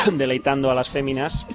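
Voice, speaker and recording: A male radio host with a Spanish accent from Spain runs through cultural listings in a chatty, colloquial way. His voice sits at a middle pitch, with small hesitations and asides. Music plays behind him. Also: unhurried, a bit bright. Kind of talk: informal radio cultural agenda, chatty commentary.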